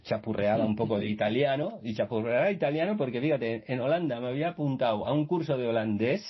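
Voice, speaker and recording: A man's voice: medium pitch, expressive, fast, husky. A man, a radio guest telling his story in a rambling, casual way with many restarts. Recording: muffled video-call audio.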